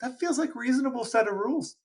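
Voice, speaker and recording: A man's voice: very high-pitched, quick pace, darkish tone. A man co-hosting a podcast with his brother, reading out lists and asking playful questions in casual chat. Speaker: podcast co-host.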